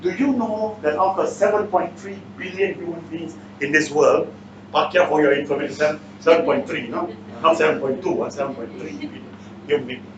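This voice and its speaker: A man's voice: fairly high pitch, a little fast. A man with a Malaysian or Singaporean accent giving a motivational seminar talk, bluntly challenging his listeners with questions. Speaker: seminar speaker. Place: indoor seminar room. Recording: echoey room, distant mic, muffled, faint noise.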